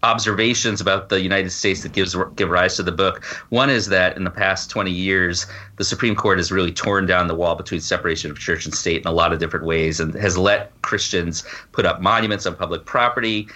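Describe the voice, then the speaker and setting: A man with a very low voice that carries a bright, forceful edge. He speaks as an interview guest in a casual way, feeling his way through explanations and dropping in informal asides. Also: fairly even intonation, a little fast, hardly any pauses. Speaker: podcast guest, law professor and author.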